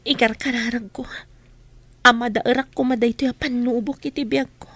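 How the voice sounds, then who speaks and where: High-voiced, sorrowful, meek woman. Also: steady tone, moderate pace, a bit bright, no echo. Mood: sad, grateful. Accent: Ilocano. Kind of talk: Ilocano radio drama acting.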